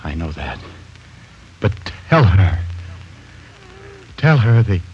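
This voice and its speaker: A man's voice: sits low, very animated, slow pace, slight background noise, full character voice. An actor playing a tormented, guilt-ridden man who begs to die, whispering and pleading feverishly in 1940s radio drama style. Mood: desperate. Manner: desperate, broken, repeating himself. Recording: muffled 1940s radio broadcast.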